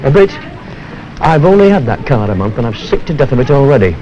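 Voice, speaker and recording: Man acting a fed-up British customer, mid-pitched. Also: very animated, brisk, dark tone, dull audio, close to an everyday voice. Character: fed-up male customer listing car complaints. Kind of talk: 1970s training film acting. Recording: slightly noisy 1970s film soundtrack.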